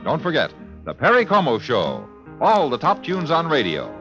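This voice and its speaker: Man, American, very animated 1950s radio announcer, medium pitch, plucked guitar music behind. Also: moderate pace.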